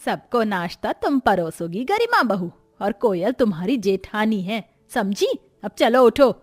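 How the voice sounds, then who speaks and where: A woman's voice: mid-range voice, sing-song, a little slow, soft background music. A woman voicing a firm, fair-minded middle-aged mother-in-law in a cartoon story, authoritative and admonishing. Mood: stern.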